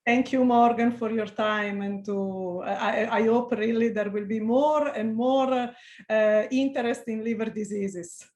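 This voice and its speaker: Woman, Italian accent, middle-aged, earnest and halting, roomy echo. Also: fairly high pitch, darkish tone. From Italy. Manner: earnest, many hesitant restarts and "uh" fillers. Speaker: liver researcher, interview guest. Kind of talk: video call interview.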